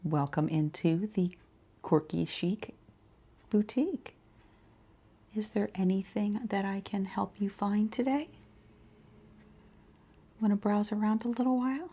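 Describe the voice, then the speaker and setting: A woman doing a quiet ASMR roleplay as a shopkeeper, speaking softly and breathily in an unhurried way, often slipping into a whisper. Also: mid-range voice, husky. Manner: unhurried, gentle pauses, murmured acknowledgements.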